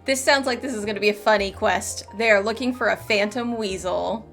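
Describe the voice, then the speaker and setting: A woman's voice: mid-range voice, moderate pace, a bit bright. A woman game streamer chatting casually and playfully with her live audience in an informal way. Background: game music.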